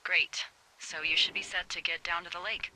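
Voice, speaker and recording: An actress playing a wry, bossy, foul-mouthed fire lookout supervisor in a video game, teasing and casual over a handheld radio. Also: female voice, fairly low pitch, steady tone, normal speed, pushed and bright, slight background noise, low-quality recording. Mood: wry, matter-of-fact. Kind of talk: naturalistic video game dialogue.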